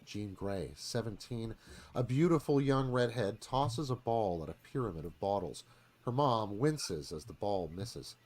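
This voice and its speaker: A man's voice: fairly low pitch, lively intonation, measured pace, darkish tone. A man giving a dramatic scripted narration, reading stage directions as narrator.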